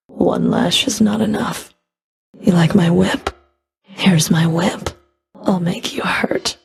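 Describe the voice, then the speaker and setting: A woman playing a cold, scornful Fury of the underworld in a video game, taunting with deliberate, contemptuous menace and a breathy edge. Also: fairly low pitch. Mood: cruel, threatening. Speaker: video game villain voice actor. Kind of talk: video game combat voice lines.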